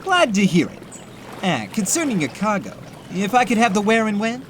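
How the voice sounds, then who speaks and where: Man playing a cheeky, animated bootlegger cat, noisy backdrop. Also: high-pitched, moderate pace. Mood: chipper. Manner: glib, theatrical, sarcastic. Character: cheeky, chatty young male bootlegger cat. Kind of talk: comic dub character acting.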